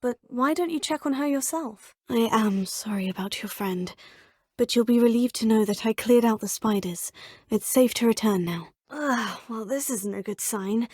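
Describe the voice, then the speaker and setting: A woman's voice: on the high side, normal speed, darkish tone, dry recording, studio quality. A woman with a British accent voicing a curious, polite young witch student in a video game. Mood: earnest. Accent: British English. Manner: polite, proper, crisp phrasing. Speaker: player character. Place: recording studio.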